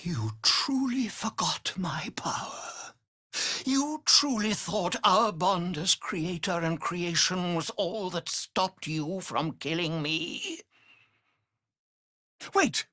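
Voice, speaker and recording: A man's voice: high-pitched, lively intonation, takes it slowly, bright, forceful tone, dry recording, clean studio sound, exaggerated voice. An actor voicing a cruel, pompous male vampire lord in a video game, imperious and sneering in his delivery. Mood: raging disbelief. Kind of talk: theatrical video game villain acting.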